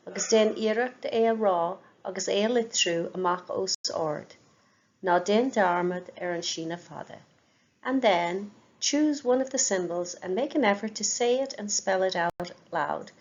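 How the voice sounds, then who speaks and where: Woman language teacher, unhurried repetition drills, dull lo-fi sound. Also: medium pitch. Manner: says each word several times slowly for learners to repeat. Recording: dull lo-fi recording.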